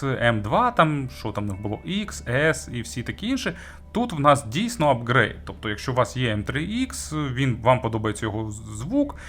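A man's voice: lowish voice, a bit bright. A man giving a casual, conversational product review, explaining things informally as the host. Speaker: audio gear reviewer and host. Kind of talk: casual semi-scripted product review commentary.

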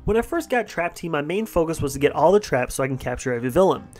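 A man's voice: on the high side, lively intonation, a little mellow, faint music behind. A young man narrating a countdown voice-over with enthusiasm over gameplay footage. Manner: enthusiastic, brisk reading. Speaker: gaming channel host.

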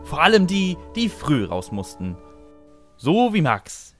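A man's voice: on the high side, wildly swooping pitch, slow pace, a bit bright, soft background music. A man narrating a comic audio drama who is bossy and impatient and fumbles for words.

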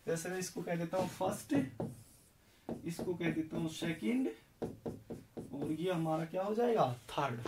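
A hoarse young man teaching chemistry at a board, explaining step by step and asking questions that he answers himself. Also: fairly high pitch, measured pace, pauses often, recorded from a distance, background noise. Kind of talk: whiteboard lecture. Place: indoor classroom in front of a green board.

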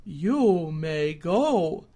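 A woman voicing a calm, wise old male scarecrow in a children's story reading, speaking very slowly. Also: on the low side, pitched below the performer's own voice, full character voice. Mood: stern calm.

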